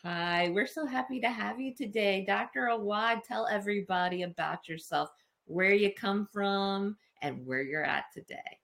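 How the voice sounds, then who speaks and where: A woman's voice: medium pitch, moderate pace, gravelly, fairly bright tone. A woman hosting a casual interview show, enthusiastic and affirming toward her guest. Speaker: podcast host.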